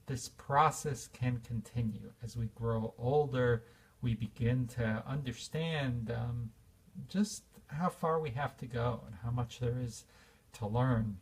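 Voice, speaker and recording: A man's voice: medium pitch, slow, husky, far from the mic. A man in his fifties giving an earnest, reflective spiritual talk, with fillers and restarts. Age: 50s. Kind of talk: informal spiritual talk to an audience.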